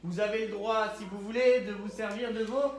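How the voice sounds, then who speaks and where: A man's voice: high voice, steady tone, moderate pace. A male kindergarten teacher with a stuffy nose from a cold, leading a playful lesson and coaxing young children along. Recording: echoey room, voice a bit far from mic. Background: children talking nearby.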